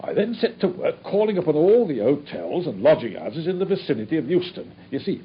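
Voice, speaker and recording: A man's voice: a little high, big pitch swings. An actor playing a dogged, proud male police inspector recounting a case in a radio drama. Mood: earnest. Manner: earnest, storytelling, brisk. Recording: dull old radio recording with faint noise.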